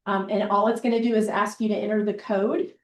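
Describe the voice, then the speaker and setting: A woman with a big voice presenting a teaching workshop as a librarian and facilitator, talking in a relaxed, conversational way with many ums. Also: medium pitch, brisk, slight background noise. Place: meeting room or classroom. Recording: roomy echo, mic far off.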